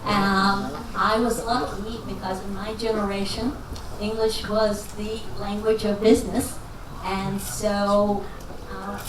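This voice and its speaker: A woman's voice: mid-range voice, flat delivery, slow, hardly any pauses, a crowd in the background. A woman from Texas, a finance professor, answering audience questions at a lecture in a folksy, self-deprecating way. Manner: folksy and self-deprecating, jokes and laughs as she talks. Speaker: guest speaker, finance professor. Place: lecture hall. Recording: echoey hall recording from far off, with noise.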